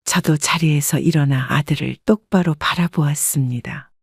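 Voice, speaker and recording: A woman narrating a first-person family story in a steady, scripted storytelling voice. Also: sits low, moderate pace, husky, no echo, clean studio sound. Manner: steady, even-paced storytelling.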